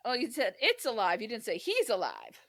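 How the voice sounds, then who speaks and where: A woman with a fairly high voice hosts a casual, joking podcast. Her delivery is animated and giggly, and she swears without hesitation. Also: brisk, noisy background. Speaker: podcast host. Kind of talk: casual humorous chat.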